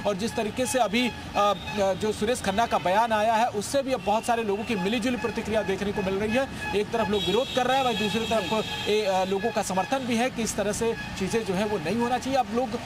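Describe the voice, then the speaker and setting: A man's voice: high-pitched, a little fast, hardly any pauses. A man working as a field reporter, giving a live, extemporised news report from a city roadside. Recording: noisy outdoor street with traffic. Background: traffic and street noise.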